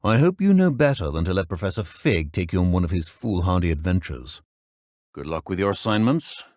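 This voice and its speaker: A stern, middle-aged British professor of wizardry, very low in pitch and recorded dry. He speaks in clipped, formal, measured phrases with a dry edge, like a video game mentor. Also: sing-song, darkish tone, no echo.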